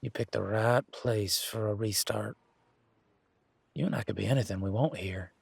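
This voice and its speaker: A man playing a laid-back, wry werewolf in an intimate one-sided audio roleplay, talking in a casual, folksy, teasing way with a husky voice. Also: fairly low pitch, normal speed, no echo, exaggerated voice. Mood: admiring, folksy.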